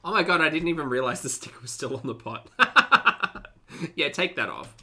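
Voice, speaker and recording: Animated, bright-voiced sarcastic commentator. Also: medium pitch, moderate pace. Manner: exasperated, sarcastic running critique. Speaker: reaction video host. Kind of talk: casual reaction commentary over a cooking video.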